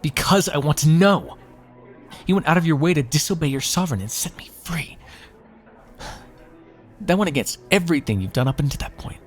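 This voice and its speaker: A man's voice: a little high, animated, fairly quick, long pauses, faint music behind, natural voice. A man playing a smug, lovestruck royal in an ASMR fantasy roleplay, with theatrical, teasing, courtly phrasing. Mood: exasperated, lovestruck.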